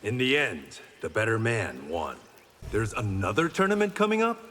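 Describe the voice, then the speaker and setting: Stern honorable swordsman from a fighting game, lowish voice, terse, big reverby hall. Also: very animated, moderate pace, a bit bright. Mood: measured. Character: blind swordsman, reformed gangster, stern and honorable. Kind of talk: video game fight banter. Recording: reverberant hall, faint background noise.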